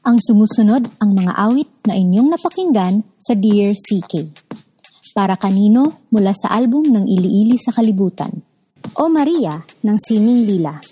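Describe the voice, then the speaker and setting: A woman's voice: medium pitch, lively intonation, moderate pace, warm tone, slightly rough. A woman with a Filipino accent hosting a radio drama series and reading scripted narration. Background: background music.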